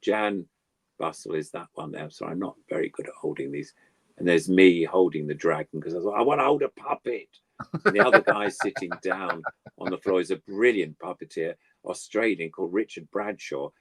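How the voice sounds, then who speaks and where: A man's voice: sits low, expressive, a little fast, breathy edge, dry recording. An older man chatting casually as a guest, reminiscing in an effusive, rambling way. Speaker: guest puppeteer.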